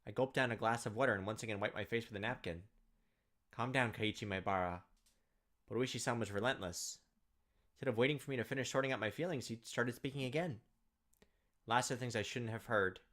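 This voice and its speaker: A man's voice: medium pitch, fast, fairly bright tone. A man reading visual novel narration aloud in a dramatic storytelling style as a gameplay host, stumbling now and then.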